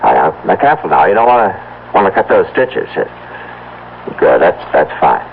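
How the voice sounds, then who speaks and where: An actor playing an anxious, loving, stubborn farmer husband in a radio drama, with a halting, stammering urgency. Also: male voice, low voice, big pitch swings, gravelly, heavily acted. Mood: tender, uneasy. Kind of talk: vintage-style radio drama acting. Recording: muffled, noisy old radio drama recording.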